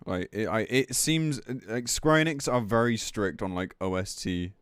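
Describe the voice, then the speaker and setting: A man's voice: lowish voice, normal speed, clear, resonant tone, no room sound. Blunt, sweary male streamer, close dry sound. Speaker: game streamer commenting on news. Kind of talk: casual livestream rant reading and reacting to a post.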